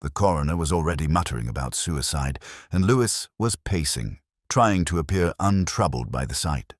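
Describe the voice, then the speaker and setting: Very deep-voiced man narrating a detective story. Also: lively intonation, no echo, clean studio sound. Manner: measured, reflective, melancholy storytelling. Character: world-weary, pensive male detective inspector. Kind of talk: literary first-person scripted narration.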